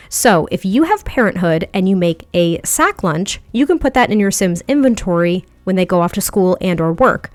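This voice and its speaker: A woman's voice: mid-range voice, no echo. A woman giving casual, chatty voice-over commentary on a game build, a little nasal from a lingering head cold, with a close mic at her desk at home. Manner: chatty, rambling, with small laughs. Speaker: video game build creator. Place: home setup at a standing desk.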